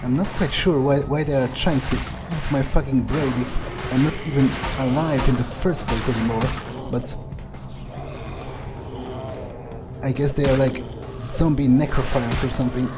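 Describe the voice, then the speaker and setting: A man role-playing a jaded, domineering, foul-mouthed male vampire in a video game, thinking aloud in a contemptuous, deliberate way. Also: mid-range voice, fairly even intonation, lightly acted. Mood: grim, resigned. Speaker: gamer role-playing in character. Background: faint game music.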